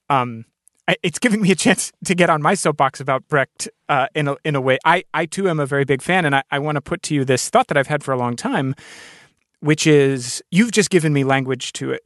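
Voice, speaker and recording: A man with a somewhat high voice hosts a thoughtful podcast conversation. He is earnest and reflective, working through ideas aloud, with a close, dry sound free of room echo. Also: a bit bright. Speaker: podcast host, interviewer.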